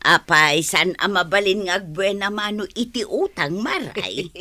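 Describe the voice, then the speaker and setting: A woman's voice: medium pitch, expressive, unhurried, bright, forceful tone. A woman playing a scheming, plaintive village woman in radio comedy drama, with an Ilocano accent. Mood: sly wheedling. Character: scheming, complaining village woman feigning dizziness for credit.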